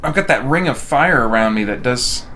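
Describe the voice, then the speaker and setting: Male game streamer, lively swooping pitch, casual and self-mocking, slight noise on the recording. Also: moderate pace. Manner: self-deprecating, jokey. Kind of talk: casual chat while playing a video game.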